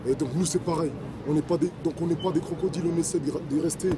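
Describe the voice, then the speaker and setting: A man's voice: fairly high pitch, fairly even intonation, fast. A man from Congo answering interview questions casually and colloquially, outdoors in a city park. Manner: colloquial, informal. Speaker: sports trainer and community activist. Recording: outdoor, very noisy urban crowd.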